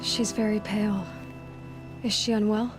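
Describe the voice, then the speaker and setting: A woman's voice: mid-range voice, little pitch movement, unhurried, close to an everyday voice. A woman playing a determined, compassionate young wanderer heroine in a video game, speaking directly and bluntly. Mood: concerned. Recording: loud music behind the voice.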